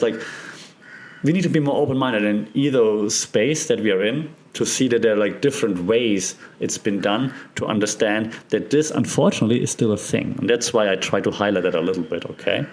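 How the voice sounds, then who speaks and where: A man's voice: medium pitch, a little mellow. A man with a German accent talks through ideas as a podcast host, in a loose, conversational way. He keeps checking in with his listeners as he explains. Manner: chatty, checks in with listeners often.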